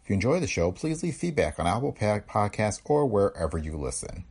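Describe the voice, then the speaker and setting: Male podcast host, scripted narration, gravelly and husky, animated intonation. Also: lowish voice, a little fast.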